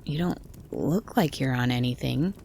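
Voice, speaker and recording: Woman playing a grumpy forest loner, female, blunt, wary, frayed creaky voice, faint noise behind, audio roleplay. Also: extremely low pitch, normal speed, a little mellow. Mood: guarded concern. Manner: blunt, grumpy, foul-mouthed. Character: gruff, wary armed woman living in a forest cabin. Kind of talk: horror audio roleplay, ASMR.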